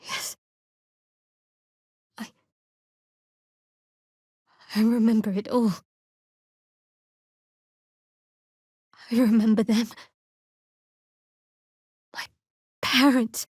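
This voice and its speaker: Fantasy game role: young female cleric of a dark goddess, sardonic and guarded, higher register, measured, very dry close recording. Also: fairly even intonation, husky, no echo, exaggerated voice. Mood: soft, reflective. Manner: cool, wry, measured delivery. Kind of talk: fantasy video game voice acting.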